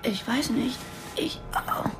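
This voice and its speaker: A woman's voice: on the high side, slow, music in the background. An actress in a radio drama playing a weak, drowsy, injured woman who has just woken up. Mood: dazed, frightened.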